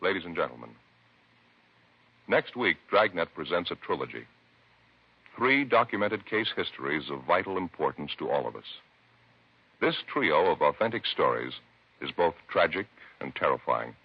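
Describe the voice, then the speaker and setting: A man's voice: deep voice, sing-song, moderate pace, pauses often, slightly rough, no room sound. An American man announcing in the formal, scripted style of 1950s radio. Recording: muffled vintage radio with loud music.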